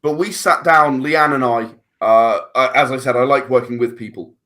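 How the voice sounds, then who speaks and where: A man's voice: mid-range voice, normal speed, fairly bright tone. A man chatting casually as a podcast guest, rambling and repeating words as he searches for his phrasing. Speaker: interview guest, filmmaker and audio drama writer.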